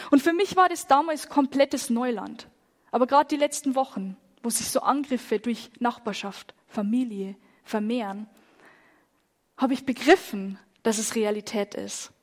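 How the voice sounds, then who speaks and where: A woman speaking in a church, telling moving stories with an earnest tone and dropping to a hush at the gravest moments. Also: high-pitched. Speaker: church speaker on persecuted Christians. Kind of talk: sermon-style testimony.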